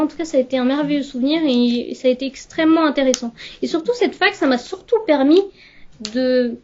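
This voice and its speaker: High-voiced woman, halting and reflective. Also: a little mellow, a little hiss or hum. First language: Urdu. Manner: halting, searching for words. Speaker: interviewee, Urdu teacher. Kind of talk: reflective interview talk. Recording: slightly muffled recording.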